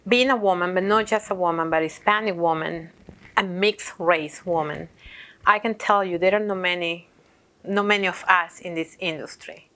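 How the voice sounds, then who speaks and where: A woman's voice: on the low side, normal speed, fairly bright tone. A woman from Peru who owns a roofing company, speaking earnestly and reflectively in an interview. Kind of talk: interview to camera.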